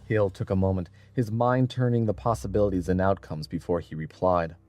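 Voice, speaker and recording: A man narrating an adventure audiobook in a dramatic, measured and solemn scripted style. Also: low-pitched, steady tone, darkish tone, faint music behind.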